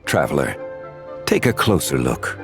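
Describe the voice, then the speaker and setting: An actor playing a calm, courteous, scholarly gentleman in a video game, speaking formally and with measured care. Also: very deep voice, vocal fry, full character voice. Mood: thoughtful. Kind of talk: video game character acting. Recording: music behind the voice.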